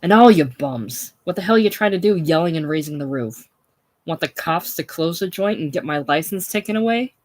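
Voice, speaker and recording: A reader voicing a gruff, irascible older male saloon keeper who talks loud and blustering. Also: medium pitch, expressive, moderate pace, close to an everyday voice. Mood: angry. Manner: loud, blustering, snapping at people.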